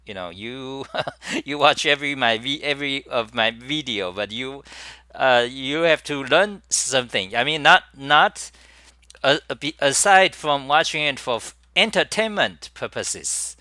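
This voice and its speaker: A middle-aged man chats casually on a live stream, stopping and restarting his sentences often. His voice is bright and forward, and the sound is dry and close, with almost no room around it. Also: mid-range voice, animated, measured pace, dry recording. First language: Chinese. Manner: rambling with many restarts and fillers. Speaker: livestream host.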